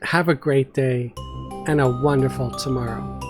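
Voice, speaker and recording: A man's voice: mid-range voice, steady tone, a little slow, over music. A man hosting his channel, chatting casually to his viewers in an outro. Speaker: channel host.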